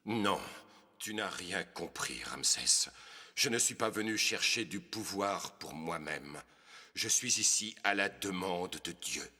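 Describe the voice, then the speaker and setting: A man voicing a resolute, solemn prophet in an animated film, speaking gravely and earnestly for his people. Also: low voice, slow, exaggerated voice. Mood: grave warning.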